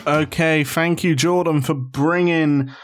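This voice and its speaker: A male podcast host trades jokey, teasing banter and hands over to the next segment. His voice sits slightly higher than most men's. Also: fairly even intonation, slow pace, faint music behind. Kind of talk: casual banter.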